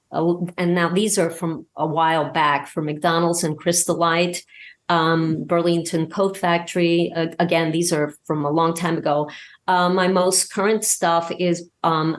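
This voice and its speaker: A woman talking casually as an interview guest, hesitant and wandering as she searches for words. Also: fairly low pitch. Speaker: podcast guest and voice actor.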